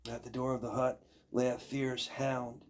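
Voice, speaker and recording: An older man with a gravelly, raspy voice reading a fairy tale aloud in a measured, expressive storytelling manner. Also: mid-range voice. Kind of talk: scripted fairy tale narration.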